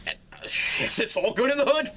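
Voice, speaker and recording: A man hosting his own comedic movie review show, retelling a plot with crude, animated energy and swearing. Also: very high voice, fairly quick, slight background noise. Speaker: film reviewer, show host.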